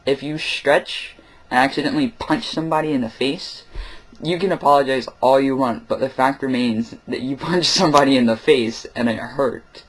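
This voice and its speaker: A young man records a casual vlog at home in the middle of the night. He talks candidly and with a wry edge, filling his pauses with ums and uhs. His voice sits at a middling pitch for a man. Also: fairly bright tone, rough recording. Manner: candid, rambling with many fillers, wry. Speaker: vlogger.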